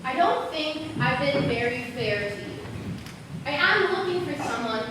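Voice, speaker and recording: A woman's voice: sits high, lively intonation, a little slow. A young woman playing a troubled, guarded teenage girl in an amateur school stage play. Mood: gentle, probing. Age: teen or young adult. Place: school stage, recorded from far off. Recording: echoey stage, far mic, noisy.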